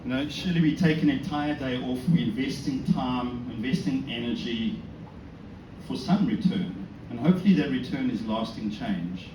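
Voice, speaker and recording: A man's voice: medium pitch, normal speed. A South African man giving an informal religious talk to an audience, conversational and self-deprecating, often posing rhetorical questions. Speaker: speaker giving a talk at a synagogue. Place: indoors in a synagogue, before an audience. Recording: echoey room, distant mic, noisy background.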